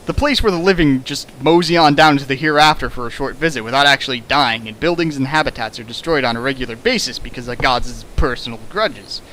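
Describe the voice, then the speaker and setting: A man's voice: medium pitch, fairly quick, few pauses, sharp tone, slight background noise, poor audio. A man reading a fan fiction aloud on a stream and mocking it with sarcastic, exasperated commentary. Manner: sarcastic, exasperated, shifts into mock voices. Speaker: fanfic reader and riffer. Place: home streaming setup.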